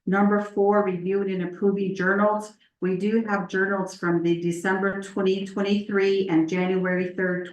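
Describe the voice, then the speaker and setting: A woman chairing a committee meeting, moving through the agenda in a measured, procedural way with frequent fillers. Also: mid-range voice. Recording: echoey meeting room.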